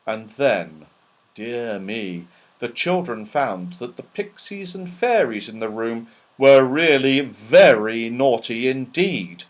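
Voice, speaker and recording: A man reading a children's story aloud as scripted narration, in an expressive, dramatic storytelling manner. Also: medium pitch, slow. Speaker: audiobook reader.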